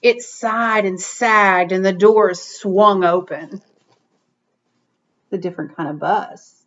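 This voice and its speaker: A woman reading a picture book aloud expressively, in a deliberate storytelling manner, on a dull recording. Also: mid-range voice, fairly even intonation, fairly bright tone. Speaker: read-aloud reader. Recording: dull, muffled lo-fi sound.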